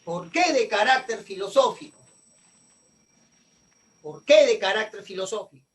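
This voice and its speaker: A man teaches a history class, often tossing questions to students and answering them himself. His voice sits very high for a man. He sounds a bit distant from the mic. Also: expressive, unhurried, a bit bright. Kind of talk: online class lecture.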